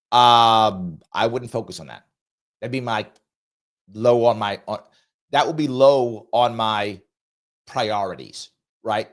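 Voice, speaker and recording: A man hosting a political talk show, reading out viewer comments and answering them bluntly, repeating his points, with a cough he is getting over. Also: fairly high pitch. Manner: blunt, emphatic, repeats himself for emphasis. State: lingering cough.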